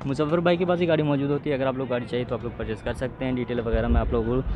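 Male vlogger, brisk walk-around pitch, outdoor market noise. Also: fairly even intonation, dull audio. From Pakistan. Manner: brisk salesy patter, questioning sellers. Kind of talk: walk-around car review vlog.

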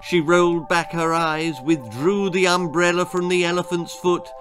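A man in his sixties reads a children's tale in a theatrical, expressive and deliberate way. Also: high voice, music in the background. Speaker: author reading his own stories. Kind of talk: dramatic children's storytelling, scripted narration. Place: small book-lined room indoors.

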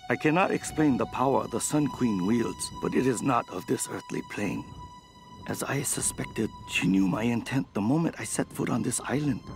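An actor playing a grave, wary ambassador and spy in a video game, reading his journal in measured, formal, dramatic phrases. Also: mid-range voice, creaky, close to an everyday voice. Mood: urgent dread. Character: grave, wary male ambassador spying at a foreign court. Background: music.

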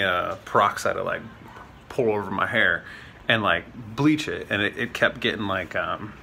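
A man in his thirties rambles through a casual vlog, peppering his talk with fillers and curse words. His voice sits a little low, with a bright, forceful edge. He is recorded close on a handheld phone, with a faint hiss behind him. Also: vocal fry. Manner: rambling, swears often, many fillers. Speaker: vlogger. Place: indoors at home, then outdoors on city streets and a trail. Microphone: phone camera held close at arm's length.

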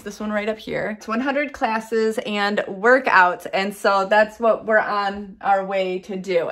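A woman's voice: medium pitch, a bit bright. A woman in her thirties chatting upbeat and gushing as she shows things around her home for a vlog. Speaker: lifestyle vlogger. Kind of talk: casual sponsored vlog chat. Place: home, dining table then rooms. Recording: echoey room at home.

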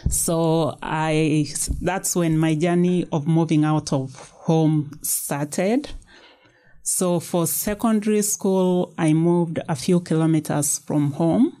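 A Kenyan woman in her fifties, a guest author, speaking thoughtfully and unhurriedly in a casual studio interview. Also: low voice. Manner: thoughtful, unhurried storytelling with soft fillers. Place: small recording studio. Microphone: studio mic on boom arm.

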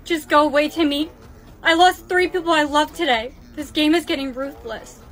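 A very high-voiced actress playing a young woman, melodramatic and overwrought. She exclaims and pleads in broad comedy-skit style. Light music plays behind her. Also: steady tone, moderate pace, fairly bright tone. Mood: frantic. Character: melodramatic young woman caught in party-game drama. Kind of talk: exaggerated comedy skit acting.

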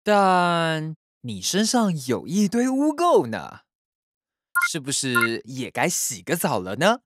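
Cartoon father shark: caring, playful adult male, exaggerated and slow, lively pitch, Taiwanese Mandarin. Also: background noise. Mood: playful, coaxing. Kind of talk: children's cartoon dubbing. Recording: children's music behind voice.

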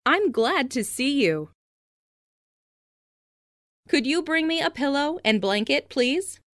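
A woman reads scripted English practice phrases in a clearly enunciated, instructional way. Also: high voice, very animated, takes it slowly, a bit bright, no echo, clean studio sound. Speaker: language lesson narrator.

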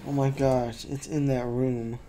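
A man doing casual let's play commentary into a desk mic, thinking aloud with a throat that keeps needing clearing. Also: mid-range voice, little pitch movement, a little slow, mellow. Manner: laid-back, thinking aloud. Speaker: gaming youtuber.

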